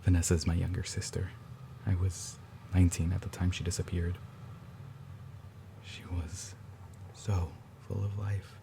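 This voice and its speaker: A guarded, grieving brother, played by a man, with a very deep, gravelly voice. He speaks quietly and haltingly, often stumbling and restarting, with a faint hiss behind him. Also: level tone.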